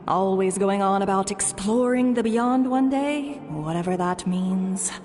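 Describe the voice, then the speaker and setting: A woman's voice: mid-range voice, unhurried, darkish tone, natural voice. A woman voicing a grumbling, fretful village mother in a video game scene. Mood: exasperated fretting. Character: fretting, grumbling village mother of an adventurous child. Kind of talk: dramatic video game acting. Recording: long reverb, music underneath.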